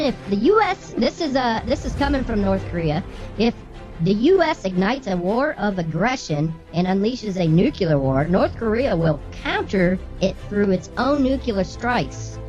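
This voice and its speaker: A woman's voice: medium pitch, sing-song, normal speed. A brash, bossy woman co-hosting a show, reading the news with casual comic banter and swearing. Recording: slightly muffled, music underneath.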